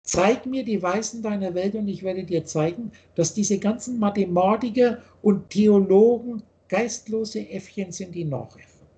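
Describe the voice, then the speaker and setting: A man's voice: sits high, a little slow, dark tone. Older man lecturing insistently on a webcam. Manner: insistent, gestures a lot while holding forth. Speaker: spiritual teacher. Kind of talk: lecturing on a video call. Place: home office, indoors. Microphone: video call webcam.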